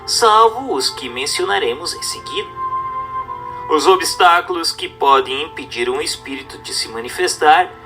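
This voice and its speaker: A man's voice: a little high, animated, moderate pace, music in the background. A man with a Brazilian accent reading a book aloud as scripted narration, in a formal, didactic manner. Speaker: audiobook narrator.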